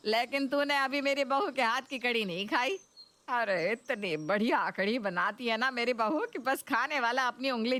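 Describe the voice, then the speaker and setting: An older Indian mother-in-law in a cartoon story, acted by a woman, demanding and fond of her food. She coaxes and exclaims, stretching out her words in a fairly high voice. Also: fairly bright tone. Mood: boastful. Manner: exclamatory, coaxing, drawn-out words. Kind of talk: Hindi cartoon story voice acting.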